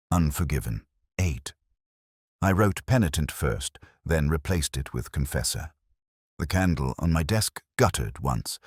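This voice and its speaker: A man giving scripted first-person detective narration in a measured, reflective, solemn manner. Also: rumbling low, darkish tone, slightly rough, no room sound, studio quality.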